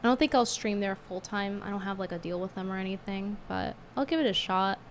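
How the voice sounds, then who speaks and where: Woman streamer losing her voice, scornful chatty tone, middle pitch, bit of noise. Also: fairly quick. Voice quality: strained, hard to get sound out. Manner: blunt, scornful and chatty, reading tips aloud. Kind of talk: casual livestream chat.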